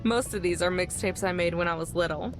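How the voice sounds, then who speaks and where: A woman voicing a guarded young woman in a visual novel, dry, low-key and offhand. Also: fairly quick, close to an everyday voice.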